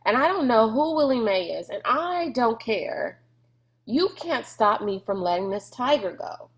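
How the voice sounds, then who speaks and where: A woman's voice: fairly high pitch, expressive, a little slow, voice pushed up. A woman voicing a headstrong, bossy young girl in a storybook, insistent and emphatic. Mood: defiant.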